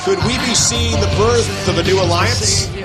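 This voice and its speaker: A man playing an excitable play-by-play wrestling announcer in a video game, with a dramatic, emphatic delivery. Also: high-pitched, normal speed, natural voice. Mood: upbeat, announcing. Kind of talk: excited televised sports commentary. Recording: loud music under the voice.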